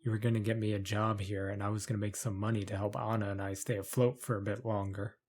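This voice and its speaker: A man playing a tired, guarded fugitive in a fantasy audio drama, speaking in a low-key way. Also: little pitch movement, fast, a little mellow, close to an everyday voice. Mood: worried, guarded. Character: weary, wary fugitive man in a fantasy town.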